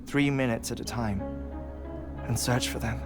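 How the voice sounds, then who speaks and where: An actor playing an eccentric, grieving middle-aged scientist in a video game, speaking in precise, theatrical phrases. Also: male voice, medium pitch, steady tone, measured pace, close to an everyday voice. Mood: sombre, haunted. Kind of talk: video game cutscene acting. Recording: music behind the voice.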